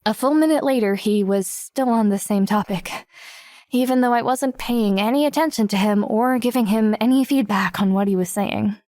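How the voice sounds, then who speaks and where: A woman's voice: on the high side, moderate pace, warm tone, no echo, lightly acted. Woman as a wry teenage girl narrator, dry recording. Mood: wry, reflective. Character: wry, self-conscious teenage girl narrating her story. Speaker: lead narrator. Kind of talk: first-person audiobook narration.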